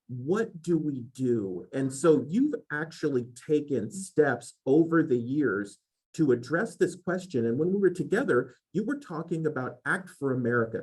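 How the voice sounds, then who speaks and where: A man hosting an interview, asking his question haltingly with many restarts. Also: medium pitch, darkish tone, husky, rough recording. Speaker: host.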